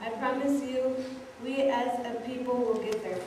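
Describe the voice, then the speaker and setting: A woman's voice: on the high side, fairly even intonation, a little slow, a little mellow. A young woman reading a political speech aloud to a congregation. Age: young adult. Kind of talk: read-aloud political speech at prayer service. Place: large church, indoors. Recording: echoey church hall, far off, faint noise.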